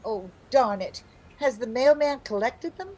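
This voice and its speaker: A woman playing an excitable, chatty, scheming housewife in an old-time radio comedy, with a theatrical manner. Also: fairly high pitch, low-quality recording. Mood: flustered. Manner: theatrical, quick to jump to conclusions.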